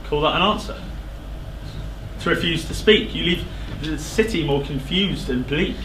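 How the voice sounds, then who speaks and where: A man's voice: on the high side, animated, moderate pace, close to an everyday voice. An actor playing a proud, hot-tempered king in a stage verse drama, declamatory and scornful. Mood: urgent pleading. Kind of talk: theatrical verse stage acting. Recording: echoey theatre stage recording, faint noise.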